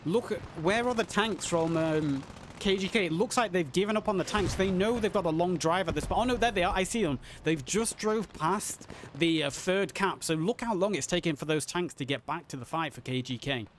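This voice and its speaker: Male live-match caster, voice going hoarse, pitched a bit high, light background noise. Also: a little fast. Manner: excited, builds to high pitch on big plays. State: losing his voice. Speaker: esports caster. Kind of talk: live game commentary.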